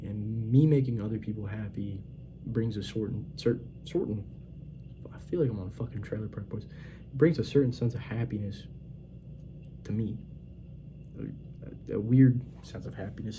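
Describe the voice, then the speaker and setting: A man's voice: fairly low pitch, noisy background. A man in his twenties giving a blunt, profane, rambling pep talk as a casual monologue. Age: 20s. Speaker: vlogger.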